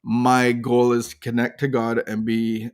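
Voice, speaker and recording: Guy on a podcast, kinda preachy, mid pitch, gets emphatic. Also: monotone, measured pace, smooth. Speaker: podcast co-host. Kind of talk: casual recovery podcast chat.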